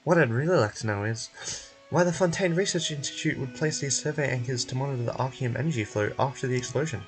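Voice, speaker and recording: A man voicing a sharp, persistent female reporter from a video game, asking formal, pointed questions. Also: mid-range voice, fast talker, soft background music, lightly acted, rough recording. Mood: insistent.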